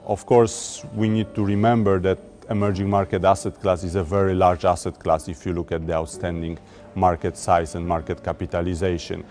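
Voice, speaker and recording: A man from Poland, talking about his investment work in a corporate interview, measured and often repeating words as he looks for the phrasing. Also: low voice, flat delivery, darkish tone. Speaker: emerging market debt investor. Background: faint music.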